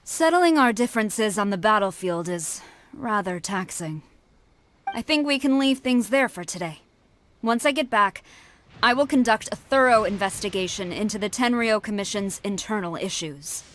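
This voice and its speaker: A woman's voice: medium pitch, expressive, normal speed, a bit bright, natural voice. Woman as a stern military commander, noisy backdrop. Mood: formal, measured. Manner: formal, authoritative. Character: stern, dutiful female military commander, formal and proud. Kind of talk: video game voice acting.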